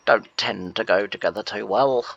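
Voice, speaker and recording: A man's voice: on the low side, normal speed, vocal fry, fairly bright tone, muffled recording. A man, nasal from a cold, rambling through casual gameplay commentary with many fillers. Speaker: gameplay host.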